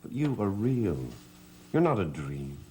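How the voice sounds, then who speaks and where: An actor playing a suave, romantic estate master in a gothic soap opera, with florid theatrical delivery. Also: male voice, on the low side, sing-song, unhurried, darkish tone, a little hiss or hum. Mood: ardent.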